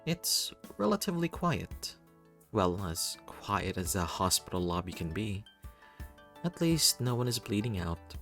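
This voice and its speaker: A man reading a first-person story aloud in an expressive, playful, theatrical way. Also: on the low side, unhurried, soft background music. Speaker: reader of a visual novel.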